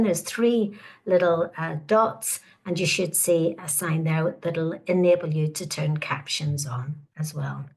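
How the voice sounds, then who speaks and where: A woman in her sixties from Northern Ireland with a Northern Irish accent, hosting an online webinar in a hesitant, welcoming way full of fillers. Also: fairly low pitch. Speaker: webinar host.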